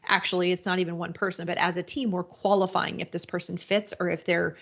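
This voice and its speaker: Low gravelly-voiced woman explaining. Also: level tone, quick pace. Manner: rambling, frequent restarts and fillers. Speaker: podcast guest, business owner. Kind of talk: casual interview answers.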